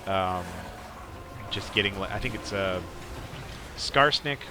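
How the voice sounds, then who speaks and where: A man's voice: low voice, takes it slowly. A man casually commentating on gameplay as a podcast host, in a rambling, hesitant way. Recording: clearly noisy background behind voice.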